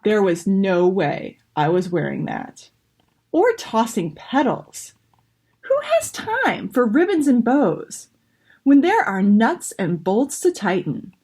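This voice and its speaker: A woman voicing a spirited, stubborn, inventive young girl with an expressive, dramatic manner in a children's picture book. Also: mid-range voice, takes it slowly, breathy edge, full character voice. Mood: disdainful.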